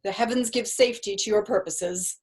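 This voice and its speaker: Woman as an earnest deputy, husky voice. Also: mid-range voice, fairly quick, darkish tone, natural voice. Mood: earnest, deferential. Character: earnest, deferential male deputy lord. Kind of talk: staged reading of Shakespeare verse.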